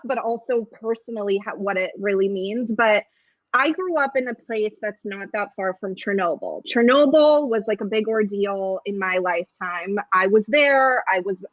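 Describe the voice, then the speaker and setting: A woman's voice: mid-range voice, a bit bright, no echo. Joking woman on dull dry call audio. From Ukraine, raised in San Francisco. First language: Russian. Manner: joking, rambling, laughs often. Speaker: comedian guest. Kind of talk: casual chat, video call interview.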